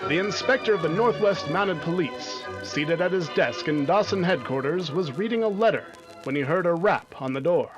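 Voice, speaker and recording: A man's voice: fairly high pitch, animated, moderate pace, hardly any pauses, highs cut off, music in the background, lightly acted. A man playing a hearty, emphatic announcer and narrator of a 1950s radio adventure serial. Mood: grave. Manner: dramatic, emphatic.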